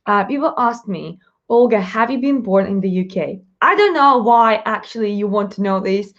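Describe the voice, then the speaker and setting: A woman with a Russian accent hosts a live-stream Q&A in a chatty, upbeat way, talking fast and laughing often. Her voice sits in a middle register, and the stream audio is slightly dull. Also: mid-range voice, animated, a bit bright. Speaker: live show host, mindset coach.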